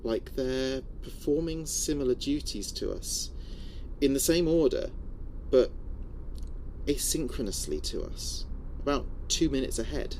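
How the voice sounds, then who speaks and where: A man's voice: mid-range voice, lively intonation, measured pace, a little mellow, slight background noise, rough recording. A man playing an anxious, brooding spaceship communications officer reading his log in a scripted sci-fi horror audio drama. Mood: uneasy, reflective. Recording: music under voice.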